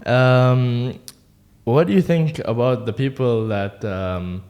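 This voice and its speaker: A man co-hosting a casual podcast, asking questions with quick, excitable reactions. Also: medium pitch. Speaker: podcast co-host.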